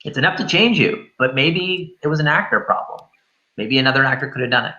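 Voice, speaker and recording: A man's voice: medium pitch, animated, a little fast, pushed and bright, lo-fi sound. A man in a casual film discussion, rambling and thinking aloud as he reviews. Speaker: host of a film review show.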